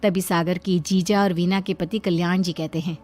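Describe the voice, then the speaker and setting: A woman's voice: on the low side, fairly even intonation, normal speed, a little mellow, soft background music. A woman narrating a scripted dramatic moral story.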